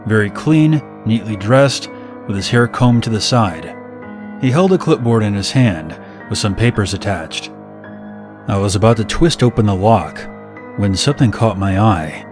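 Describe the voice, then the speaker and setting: A man reading a scripted first-person scary story as a narrator. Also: fairly low pitch.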